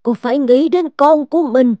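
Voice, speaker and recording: A woman voicing a kindly, anxious old village midwife in an animated fairy tale, with an earnest, drawn-out delivery. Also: high voice, dry recording, highs cut off, natural voice. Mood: urging. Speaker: voice actor.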